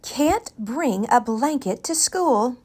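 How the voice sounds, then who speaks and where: A woman's voice: sits high, lively intonation, slow pace, darkish tone, heavily acted. A woman voicing a meddling, know-it-all neighbour in a storybook, with a chiding, knowing manner.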